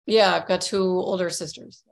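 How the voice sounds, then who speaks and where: Interviewer, woman, slightly low voice, friendly and curious questions. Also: steady tone, moderate pace, gravelly.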